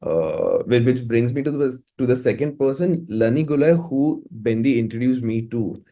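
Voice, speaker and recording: A man's voice: mid-range voice, animated, moderate pace, warm tone. A man with an Indian accent, chatting casually and effusively as a music producer being interviewed. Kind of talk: casual, conversational interview talk.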